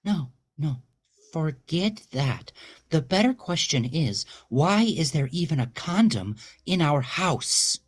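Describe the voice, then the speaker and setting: A man's voice: fairly high pitch, very animated, slow pace, husky, voice pushed up, heavily acted. A man voicing a bitter, ruthless betrayed wife in a dramatic audio story, with a biting, accusatory, emphatic delivery. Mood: furious, cutting.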